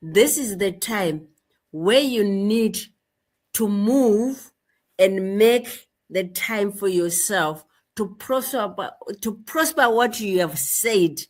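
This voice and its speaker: A middle-aged woman from rural Zimbabwe, a guest telling her story in a slow, measured way and often repeating phrases. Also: medium pitch, lively intonation. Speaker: guest activist. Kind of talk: interview storytelling.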